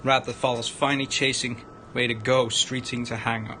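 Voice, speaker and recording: A man narrating a visual novel aloud on a livestream, dramatic but halting, stumbling over the written words. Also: level tone, sharp tone. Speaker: streamer, narrator. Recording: noisy room with low rumble.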